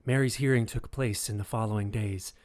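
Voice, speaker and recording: A man narrating a dark historical audio drama as a solemn, scripted storyteller. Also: lowish voice, fairly even intonation, brisk, close to an everyday voice.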